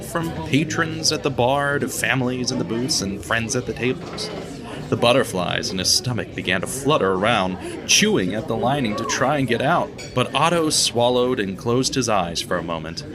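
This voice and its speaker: A male narrator reads a radio-theatre story in a measured, expressive way, his voice rising and falling a lot as he tells it. Guitar music plays clearly behind him. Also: mid-range voice. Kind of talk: scripted audio drama narration.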